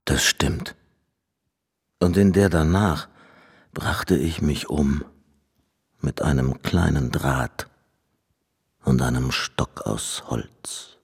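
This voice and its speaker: An actor playing a sombre, matter-of-fact man who recounts grim memories in a measured way in a radio play. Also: a bass voice, breathy edge, no room sound, studio quality, exaggerated voice. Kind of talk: German radio play acting.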